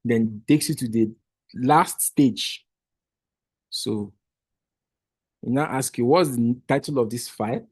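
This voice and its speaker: A man's voice: mid-range voice, slow pace, long pauses, a little mellow, breathy edge, dry recording. Man talking through an online tutorial. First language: Igbo. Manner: patient step-by-step explaining with restarts. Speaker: workshop trainer. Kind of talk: screen-share tutorial walkthrough.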